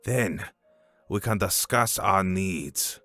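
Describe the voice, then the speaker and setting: A grave elder leader in a cartoon fan dub, acted by a man. His voice is lowish and slow, with solemn, formal authority, in a dry recording. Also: lively intonation, fairly bright tone, no echo, soft background music, heavily acted. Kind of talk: animated fan dub acting.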